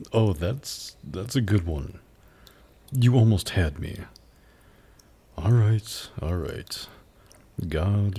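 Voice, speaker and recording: A man performs a suave demon buyer for an ASMR audio roleplay, haughty and flirty. The voice is very low and heavily husky, with a gravelly creak. The delivery is sardonic and teasing, full of skeptical pauses. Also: warm tone, full character voice. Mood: amused, skeptical.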